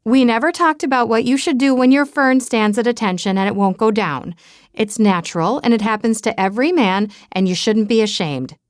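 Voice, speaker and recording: A woman voicing a loud, tearful, gushing older aunt. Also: fairly high pitch, a little fast, no room sound, studio quality, close to an everyday voice.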